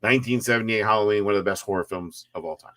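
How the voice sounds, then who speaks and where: A man in his forties, a guest filmmaker, talking movies with emphatic enthusiasm and quick restarts. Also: fairly low pitch. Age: 40s. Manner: enthusiastic, emphatic, repeats words when excited. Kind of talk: casual live-stream chat.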